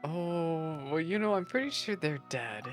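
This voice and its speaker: A woman's voice: sits low, expressive, unhurried. A woman giving casual, slangy reaction commentary on a game in short interjections. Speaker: gameplay streamer reacting. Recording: game music faintly behind the voice.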